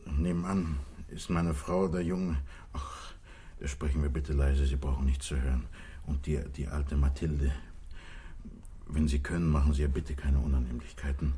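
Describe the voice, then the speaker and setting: An actor playing a contrite, desperate fugitive from a wealthy life, earnest and pleading, in 1960s radio play style. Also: male voice, very deep voice, little pitch movement, normal speed, mellow, husky, exaggerated voice. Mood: subdued, pleading.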